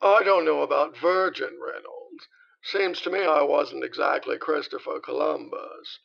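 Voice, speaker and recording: A quick-witted 1930s radio star, who tosses off dry, suggestive comebacks. His voice is somewhat high and gravelly. The sound is muffled and lo-fi. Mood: sly.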